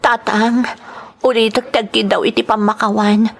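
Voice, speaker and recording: A woman's voice: on the high side, level tone, normal speed, gravelly. A woman playing a long-suffering young wife and mother in an audio drama, earnest and plaintive. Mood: sorrowful, hopeful. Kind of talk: Ilocano audio drama acting.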